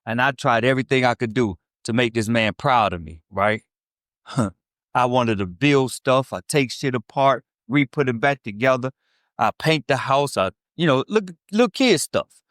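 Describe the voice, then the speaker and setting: A man's voice: medium pitch, a bit bright, smooth, no echo, studio quality. A man in his fifties from Chicago's South Side, with a Chicago accent, giving a blunt, profane recovery testimony to a meeting, often checking in with "right?". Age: 50s. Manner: blunt, streetwise and profane, with frequent "right?" asides. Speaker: recovery speaker.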